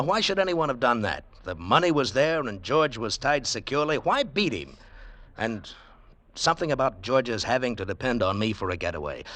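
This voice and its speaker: An actor in 1940s radio style playing a wry, world-weary adventurer, terse and measured, on a noisy vintage recording. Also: male voice, medium pitch, a bit bright. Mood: wary. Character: hard-boiled, wry male adventurer narrating his tale. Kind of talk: 1940s radio acting and first-person narration.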